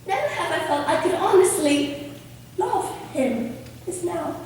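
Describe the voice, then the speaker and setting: Very high earnest young gentlewoman, acted by a woman. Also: animated, measured pace. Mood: distraught. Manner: earnest formal period speech. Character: earnest young gentlewoman, sensible, anguished sister. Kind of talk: amateur stage play acting. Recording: distant echoey hall, faint noise.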